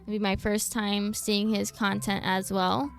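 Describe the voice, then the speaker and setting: A woman's voice: medium pitch, flat delivery, measured pace, creaky. A young adult woman casually chatting as a game livestreamer, playful and informal. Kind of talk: casual livestream chat over gameplay. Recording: background game music. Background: game music.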